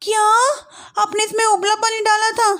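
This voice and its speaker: A woman's voice: very high-pitched, monotone, normal speed, a bit bright, pitched above the performer's own voice. A woman voicing an earnest young city-bred daughter-in-law in a story cartoon, polite but easily startled and exasperated. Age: young adult. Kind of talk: moral-story cartoon voice acting.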